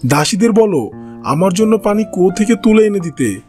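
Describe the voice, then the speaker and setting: A man's voice: high-pitched, sing-song, moderate pace, darkish tone, faint music behind. A man voicing an arrogant, stingy and irritable king in a fairy-tale cartoon, haughty and commanding. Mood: complaining, commanding.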